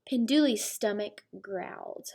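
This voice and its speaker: A woman's voice: medium pitch, lively intonation, measured pace, creaky, a little mellow, a little hiss or hum. A woman reading a children's picture book aloud like a teacher, with explanatory asides. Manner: explains words and story asides. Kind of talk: children's storybook read-aloud.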